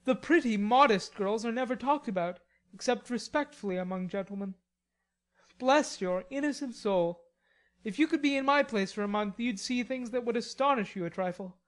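A woman reading an audiobook as a chivalrous, teasing young college gentleman with a mock-superior air and old-fashioned gallant speech. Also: a little high, normal speed, natural voice. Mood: superior, exasperated. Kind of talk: audiobook character dialogue.

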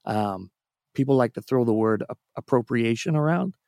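A man's voice: medium pitch, animated, moderate pace, vocal fry, darkish tone, no echo, studio quality. A Canadian man chatting casually, telling long anecdotes with frequent restarts. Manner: storytelling, self-deprecating, many restarts. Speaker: music producer and guest. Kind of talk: casual podcast chat.

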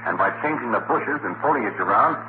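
An actor playing a brisk, wisecracking crime photographer in a 1940s radio drama, slangy and punchy with an American accent. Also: male voice, mid-range voice, expressive, lightly acted. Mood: confident. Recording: noisy, narrow-band 1940s radio recording.

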